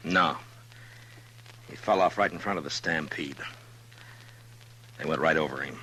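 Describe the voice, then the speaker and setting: A man's voice: extremely low pitch, measured pace. An actor playing a weary, stern American lawman in a 1950s radio western, speaking in terse, grave lines. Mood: subdued, grave. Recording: noisy 1950s radio recording.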